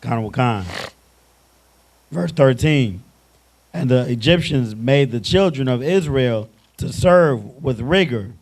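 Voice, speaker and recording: A man reading scripture aloud in a deliberate, measured way. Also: medium pitch, lively intonation. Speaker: scripture reader.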